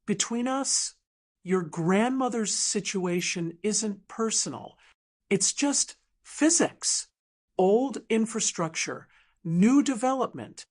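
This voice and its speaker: Woman playing a polished, condescending businesswoman, lively pitch. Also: takes it slowly. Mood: sly, veiled threat. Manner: smooth, sugary, faintly condescending. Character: polished manipulative businesswoman, smoothly condescending, falsely gracious. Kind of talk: audiobook drama dialogue.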